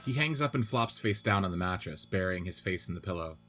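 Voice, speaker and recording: A man's voice: sits low, animated, a little fast, background noise. A man giving scripted narration of stage directions and scene headings for a radio-play reading.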